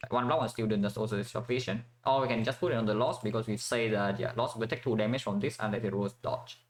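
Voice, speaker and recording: A man's voice: lowish voice, quick pace. A man from Vietnam giving casual commentary while playing a strategy game, thinking aloud with many fillers and restarts.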